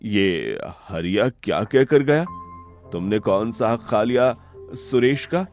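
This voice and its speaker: A man's voice: fairly low pitch, big pitch swings, takes it slowly, a little mellow, soft background music, exaggerated voice. A man voicing a hardworking, affectionate village father who runs a roadside eatery in a cartoon story. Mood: puzzled.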